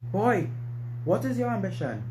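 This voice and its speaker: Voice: medium pitch, very animated, unhurried, a little mellow. Someone acting a stern, scolding father in an amateur drama, speaking Caribbean dialect with a Caribbean accent. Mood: angry scolding. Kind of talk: amateur dramatized novel reading. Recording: roomy echo, clear humming noise.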